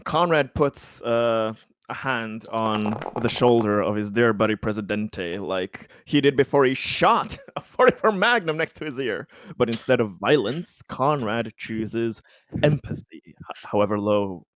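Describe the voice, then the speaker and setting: A man playing a tabletop game, narrating his character in deliberate, wordy, mock-formal phrases. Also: mid-range voice, animated, fairly bright tone. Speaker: player in a tabletop roleplaying game.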